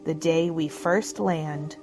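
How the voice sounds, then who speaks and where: A woman's voice: low-pitched, steady tone, slow pace, music in the background. A woman announcing and narrating a radio drama in a scripted, dramatic style.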